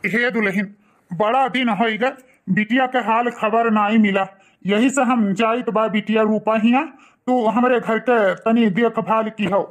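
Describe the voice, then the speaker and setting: A man's voice: high-pitched, steady tone, measured pace. A man acts out the caring older head of a cartoon household. He sounds earnest and upright, and speaks with an Awadhi accent. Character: caring, upright older father-in-law, the family elder. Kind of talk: cartoon family drama acting.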